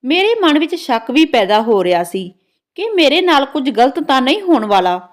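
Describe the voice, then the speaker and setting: A woman's voice: high voice, very animated, moderate pace. A woman narrating a scripted first-person story in a dramatic storytelling manner.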